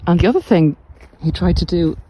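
A middle-aged woman giving reflective, informal podcast advice in a measured, deliberate way with pauses. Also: lowish voice, expressive, breathy edge, dull audio. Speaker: podcast host and narcissistic abuse recovery coach. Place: walk outdoors along the coast.

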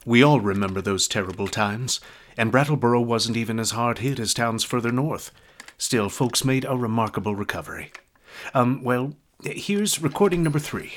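An actor playing an apologetic, folksy presenter with a husky voice, hesitantly addressing listeners in a radio drama. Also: male voice, medium pitch, lively intonation, moderate pace, a little hiss or hum, close to an everyday voice. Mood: reflective.

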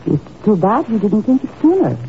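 A haughty, cold crime boss in a 1950s radio play, with a theatrical, widely swooping delivery. The recording is dull and faintly noisy. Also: female voice, medium pitch, sing-song, brisk. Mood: cold, mocking. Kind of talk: 1950s radio drama acting.

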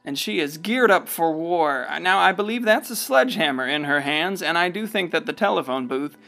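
A woman's voice: on the low side, animated, normal speed, vocal fry, a bit bright. An actress playing a folksy narrator in a radio play, musing and conversational as she watches over a town. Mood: folksy, confiding. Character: folksy storyteller watching over a river town's generations. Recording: faint music behind voice.

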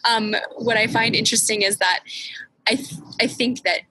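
A woman's voice: fairly high pitch, fairly even intonation, normal speed, bright, forceful tone, a little hiss or hum, poor audio. A woman hosting a casual, reflective chat, thoughtful and searching for words. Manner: thoughtful, many fillers and restarts.

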